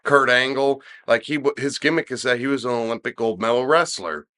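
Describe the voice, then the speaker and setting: A middle-aged man chatting on a podcast, his voice a little stuffed up and nasal today. His tone is bright and he rambles, circling back with restarts and fillers. He talks loosely and casually. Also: medium pitch, a little fast. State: stuffy nose, slightly nasal. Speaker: podcast co-host discussing wrestling. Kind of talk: casual chat.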